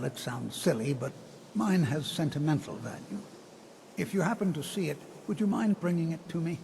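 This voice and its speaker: An elderly, kindly college wizard from a fantasy game. His voice is fairly high for a man and gentle, reflective in manner, with a long hall reverb and some background ambience noise. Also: vocal fry. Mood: sheepish. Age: older. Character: kindly, measured old wizard scholar of a magic college. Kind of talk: fantasy video game character dialogue.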